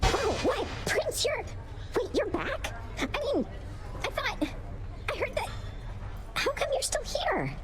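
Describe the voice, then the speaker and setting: A woman voicing a timid, eager-to-please little underworld creature in a video game, with a nervous, halting, self-doubting stammer. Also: very high voice, huge pitch swings, full character voice. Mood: flustered, surprised. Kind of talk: video game character acting.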